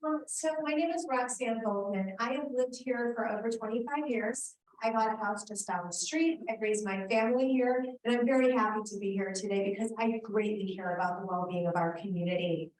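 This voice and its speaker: A woman's voice: mid-range voice, brisk, few pauses. A woman candidate speaking at a community forum in an earnest, rambling way, correcting herself as she goes. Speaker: election candidate. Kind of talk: public forum speech. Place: community meeting room. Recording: echoey hall, distant mic, faint hum.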